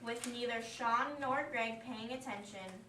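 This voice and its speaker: A woman's voice: fairly high pitch, steady tone, measured pace. A woman reading scripted story narration aloud for an amateur puppet show. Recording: echoey room, distant mic, faint noise.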